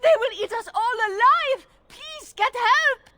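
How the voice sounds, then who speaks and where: An actress playing an anxious, scheming elven handmaid in a video game. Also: female voice, very high voice, a little slow, sharp tone, exaggerated voice. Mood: feigned panic. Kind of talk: video game cutscene acting.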